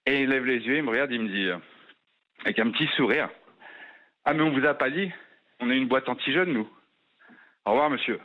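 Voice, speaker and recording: A man pours out an impassioned, indignant testimony at a rapid clip. His voice comes through a muffled, lo-fi phone line. He sits at a middling pitch for a man. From France. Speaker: caller. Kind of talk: radio call-in testimony.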